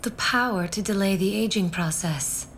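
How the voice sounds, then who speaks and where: An actress playing a grave widowed survivor in a video game, speaking in a measured, solemn way. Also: female voice, mid-range voice, fairly bright tone, a little hiss or hum, close to an everyday voice. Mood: serious, measured. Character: grave widowed female survivor who has outlived her years. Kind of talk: English-dubbed video game cutscene acting.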